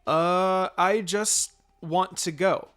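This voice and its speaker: A man's voice: high-pitched, steady tone, extremely slow, fairly bright tone, clear, resonant tone, pitched above the performer's own voice, heavily acted. A man voicing a stubborn, pouting little boy in a story reading, cheeky and whiny as he bargains. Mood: pouting, pleading.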